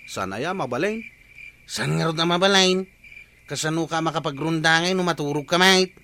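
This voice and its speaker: A man's voice: on the high side, normal speed, bright, forceful tone, a little hiss or hum. A man acting a mischievous, teasing villager in a comedic radio drama. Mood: musing, drowsy.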